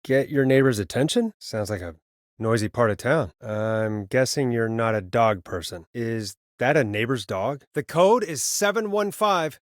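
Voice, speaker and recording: Wry late-night radio DJ played by an actor, dry studio sound. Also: male voice, medium pitch, animated, unhurried, no room sound, natural voice. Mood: matter-of-fact. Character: Forrest Nash, wry late-night radio DJ, a grown man. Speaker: radio host. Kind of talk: video game voice acting.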